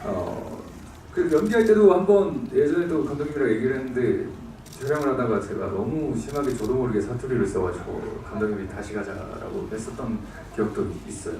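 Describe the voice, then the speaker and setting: A man from Busan, a film actor fielding audience questions with easy laughs and halting pauses. He is heard from a distance across a large, echoey cinema hall. A steady noise sits under his voice. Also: medium pitch. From Busan, South Korea. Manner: relaxed, laughs often, hesitant pauses. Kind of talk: casual answers to audience questions.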